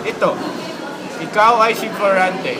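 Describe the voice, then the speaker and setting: A man plays a supportive schoolboy buddy in an amateur radio play. His voice is high for a male, and loud noise fills the recording. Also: unhurried. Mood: encouraging. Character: encouraging schoolboy friend retelling a hero's tale. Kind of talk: scripted amateur student radio drama.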